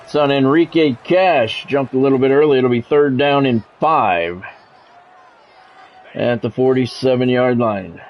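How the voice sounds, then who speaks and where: A man's voice: medium pitch, a little slow, lo-fi sound. A man doing play-by-play commentary on a football video game in a conversational way, with wry asides. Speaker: play-by-play announcer.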